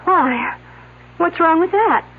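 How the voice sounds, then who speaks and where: An actress playing a defiant young murder suspect in a melodramatic 1970s radio drama. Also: female voice, very high-pitched, animated, slow pace, exaggerated voice. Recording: noisy, muffled old radio recording.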